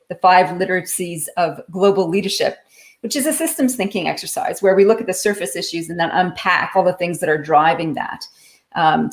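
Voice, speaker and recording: A woman in a live online interview, explaining her leadership consulting work in an articulate, explanatory way. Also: on the low side. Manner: articulate, explanatory, lightly laughing. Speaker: guest, leadership author and consultant.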